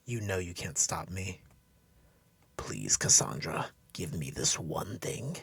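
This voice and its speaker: A man voicing an elderly male assassin in a story, bitter and desperate, in a low, gravelly voice. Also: very animated, slow, fairly bright tone, no room sound, full character voice. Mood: pleading. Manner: feigned feeble, then growling.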